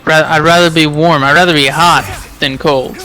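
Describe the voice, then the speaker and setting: An American man with a fairly high, forceful bright voice. He chats casually while gaming and argues in short, emphatic statements. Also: steady tone, moderate pace, soft background music, poor audio. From Arizona, United States.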